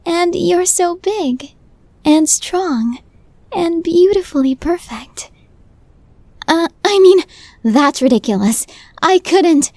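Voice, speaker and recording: A woman's voice: extremely high pitch, lively intonation, slow pace, long pauses, a little mellow, no echo, heavily acted. A woman playing a tiny, nervous, lovestruck young woman in an ASMR roleplay, stammering and flustered. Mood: startled, defensive. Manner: stammering, flustered, breathy asides.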